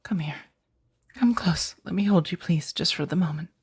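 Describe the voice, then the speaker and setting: A woman voicing an ancient, protective vampire in an ASMR roleplay, with a breathy husky voice and a measured, formal, hushed delivery. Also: lowish voice. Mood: tender, anxious. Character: ancient female vampire, protective, stern, tender to her beloved. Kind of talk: ASMR roleplay audio drama.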